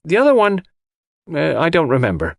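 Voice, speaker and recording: Man voicing a flustered, high-pitched young housemaid. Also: huge pitch swings, normal speed, vocal fry, no room sound, clean studio sound, full character voice. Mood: hesitant, deferential. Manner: deferential, eager, flustered. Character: young strapping housemaid, excited, flustered, deferential servant.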